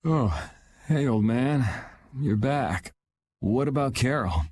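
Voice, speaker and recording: An actor playing a self-assured young adventurer in a video game, with a dry, offhand, decisive delivery. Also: male voice, mid-range voice, animated, slow, darkish tone, slightly rough. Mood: drowsy, offhand. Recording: music under the voice.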